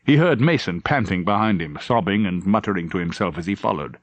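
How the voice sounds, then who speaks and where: A man's voice: low voice, animated, a little fast, no echo. A man narrating a novel as a scripted, dramatic audiobook reading.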